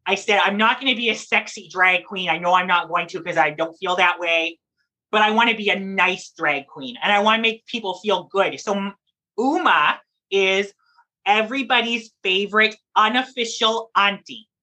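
A drag performer talks as an interview guest in a bright, forceful voice at a medium pitch. The delivery is conversational and emphatic, explaining and storytelling with animation. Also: moderate pace, poor audio.

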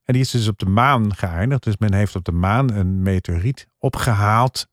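Male panelist, casual, fairly low voice, dry studio sound. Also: normal speed, dry recording. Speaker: podcast panelist. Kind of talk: casual review chat.

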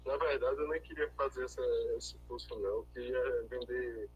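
A man's voice: medium pitch, dull audio, a little hiss or hum. A young man, a law student, chatting as a guest on a call and cracking self-deprecating jokes. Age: young adult. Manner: joking, self-deprecating, quick comebacks. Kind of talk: casual interview over a call. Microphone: remote call.

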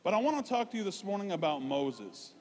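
A man preaching a conversational, informal sermon, emphatic at points and dropping into quieter, self-deprecating asides. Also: sits high, big pitch swings, a little fast. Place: large reverberant room, preaching live. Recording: reverberant hall sound.

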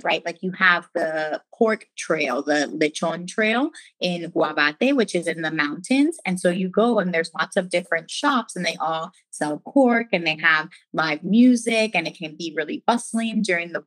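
Woman, 30s, lower voice, chatty enthusiastic guest, dry close mic. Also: a bit bright, no room sound, low-quality recording. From Puerto Rico, raised in Philadelphia. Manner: chatty and enthusiastic, frequent "like" and "you know". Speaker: podcast guest, travel writer. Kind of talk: conversational interview.

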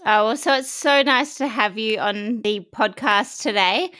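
A woman's voice: a little high, a little slow, sharp tone. A woman hosting a podcast interview with prepared questions and introductions. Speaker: podcast host.

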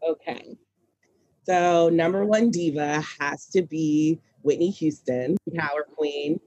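A woman with a low speaking voice, a guest on a podcast, talking in an animated, emphatic way and laughing often. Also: slow pace, highs cut off. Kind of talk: casual chat.

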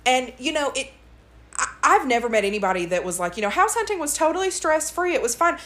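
A chatty woman, co-hosting a relaxed podcast. She talks freely and breaks into laughter often. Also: high voice, fast. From West Tennessee. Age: 30s. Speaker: podcast co-host. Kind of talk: casual chat.

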